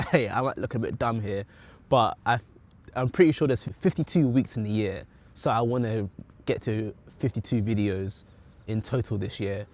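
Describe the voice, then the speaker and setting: A young man with a British accent reflecting on his goals in a casual, rambling vlog, often correcting himself mid-sentence. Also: lowish voice. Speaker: vlogger. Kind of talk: casual talking-head vlog.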